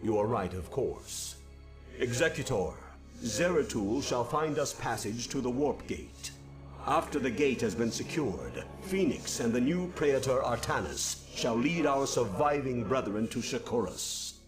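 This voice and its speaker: An actor playing a proud, skeptical alien leader in a video game, speaking in a haughty, formal way. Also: male voice, medium pitch, sing-song, measured pace, music in the background. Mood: conceding, commanding.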